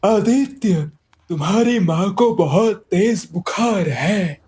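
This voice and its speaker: A man playing a grave, sober village healer in a cartoon moral story, with measured delivery. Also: high-pitched, lively intonation, a little mellow, husky, heavily acted.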